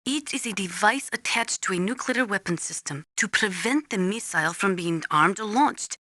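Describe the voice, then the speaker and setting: A serious female weapons adviser in a military video game. Her voice is crisp-edged and bright, recorded very dry and close. She explains things formally and exactly, like a trained instructor. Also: mid-range voice, expressive, moderate pace, no echo. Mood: matter-of-fact. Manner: formal, precise, instructive, avoids contractions. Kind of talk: scripted video game voice acting, explanatory briefing.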